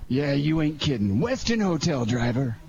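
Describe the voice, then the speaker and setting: A man's voice: fairly high pitch, sing-song, moderate pace, darkish tone, muffled recording. A man playing a cocky, streetwise fur thief in a 1940s-style radio crime drama, brusque and slangy. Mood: brisk, bossy. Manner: brusque, slangy, self-assured. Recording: noisy amateur radio drama recording.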